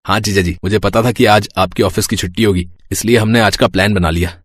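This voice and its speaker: An easygoing grown son in a family storybook drama, acted by a man. His voice is fairly low, wry and casual, with a dry sound. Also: steady tone, fast talker, dry recording, natural voice. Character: easygoing adult son joking about household quarrels.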